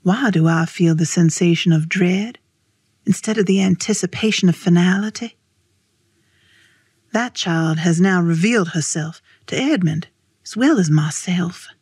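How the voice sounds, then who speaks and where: A performer playing an uneasy narrator in a dramatic voice-over, measured and brooding, full of dread. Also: mid-range voice, a little mellow, breathy edge, no room sound, clean studio sound. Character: uneasy diary-following narrator, dreading the answers.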